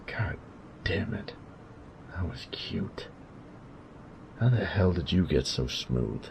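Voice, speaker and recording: A man voicing a cocky, teasing young bully who is secretly smitten, in a husky, slangy intimate audio roleplay. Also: lively intonation, slow pace, in a whisper, exaggerated voice. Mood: flustered, fond. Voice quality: very deep, gravelly, husky. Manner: swearing, teasing, smug slangy drawling delivery.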